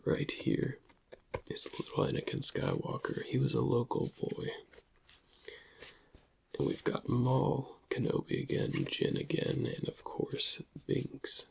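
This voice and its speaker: Whispering man with heavy gravelly creak. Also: medium pitch, little pitch movement, rough recording. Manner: slow, hushed, lingering delivery. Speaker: ASMR roleplay performer. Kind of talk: whispered ASMR roleplay.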